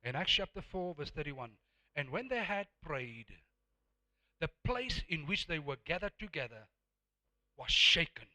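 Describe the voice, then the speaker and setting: A man with a South African accent and Afrikaans as his first language, preaching a sermon emphatically, repeating words for effect and swinging between hushed and loud. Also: on the high side, sing-song, measured pace, poor audio.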